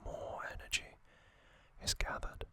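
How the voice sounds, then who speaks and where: An ASMR host whispering trivia, softly repeating single words as triggers and reading from a box cover. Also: a little slow. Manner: reads aloud from a box cover.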